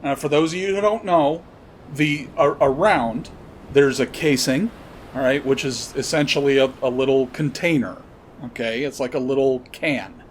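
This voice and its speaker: A man's voice: mid-range voice, normal speed, a little hiss or hum. A man delivering an opinionated commentary monologue, emphatically spelling out and repeating his points. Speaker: podcast host commentator.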